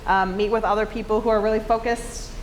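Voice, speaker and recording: A woman facilitator talks quickly and warmly into a handheld mic at a conference. The room is large and echoey, with a steady murmur of background noise. Her tone stays upbeat and encouraging throughout. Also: medium pitch. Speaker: session facilitator. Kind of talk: workshop facilitation.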